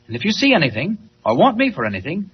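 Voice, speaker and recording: A muffled, noisy old radio serial recording carries a brave American reporter hero. His voice is high for a man and swings widely, brisk and emphatic yet reassuring. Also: huge pitch swings, slightly rough, heavily acted. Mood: calm, reassuring. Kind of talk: vintage radio serial acting.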